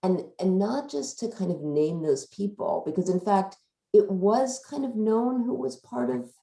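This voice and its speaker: A woman's voice: mid-range voice, animated, unhurried, vocal fry, mellow, echoey room. A woman leading a thoughtful, analytical book discussion, circling through her sentences with hedges as she works out her points. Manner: hedging, circling sentences with frequent "sort of". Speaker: discussion leader at a book talk.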